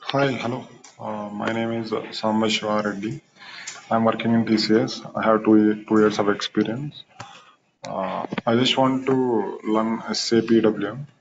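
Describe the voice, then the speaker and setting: A man gives a short, plain introduction on a call. His voice sits on the low side, there is clear noise on the line, and the audio is dull. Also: fairly even intonation. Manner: plain, brief, halting. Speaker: IT worker, student. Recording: noisy, muffled call line.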